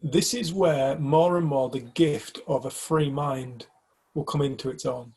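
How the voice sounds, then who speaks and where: A man's voice: medium pitch, a little slow, a little mellow, husky. A man from the UK in a casual group discussion, talking reflectively and hesitantly, often restarting his sentences. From United Kingdom. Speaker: community group member.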